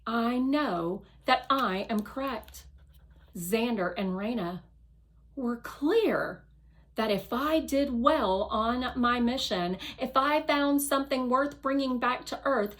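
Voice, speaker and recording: A woman narrating a children's novel aloud with careful, expressive storytelling. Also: a little high, slow pace.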